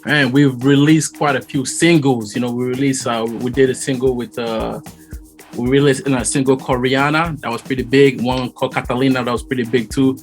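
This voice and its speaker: A man's voice: mid-range voice, fairly even intonation, brisk, soft background music. Friendly, slangy male musician. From Togo. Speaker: musician guest. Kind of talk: casual interview.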